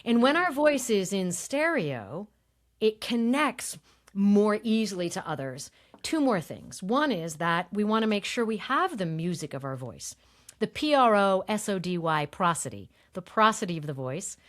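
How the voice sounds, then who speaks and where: Woman, voice coach, higher voice, varied pitch, explanatory, interview talk. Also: very animated, moderate pace. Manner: explanatory, demonstrates tones with her own voice. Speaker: voice coach guest. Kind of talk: conversational interview, demonstrating voice techniques.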